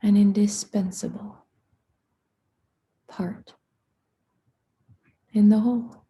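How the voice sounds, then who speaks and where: A woman leading a guided meditation in a hushed, soothing, unhurried manner, with long pauses between phrases and a soft, breathy voice. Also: medium pitch, steady tone.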